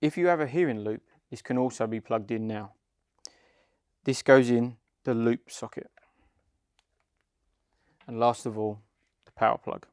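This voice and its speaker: A man's voice: mid-range voice, measured pace, pauses often, darkish tone, dry recording, clean studio sound. A man giving a scripted instructional product narration, explaining plainly step by step. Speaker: company head of client development, instructor.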